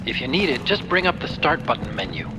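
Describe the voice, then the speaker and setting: An actor playing an earnest, helpful tech-expert sidekick in a video game, explaining things in a friendly way. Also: male voice, on the high side, very animated, fast talker, loud music behind the voice. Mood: concerned, instructive. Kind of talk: video game cutscene acting.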